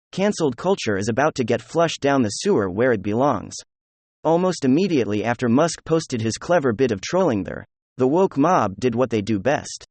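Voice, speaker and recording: A man's voice: mid-range voice, darkish tone, dry recording. A man delivering opinionated news commentary in a sarcastic, mocking manner. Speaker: political commentator.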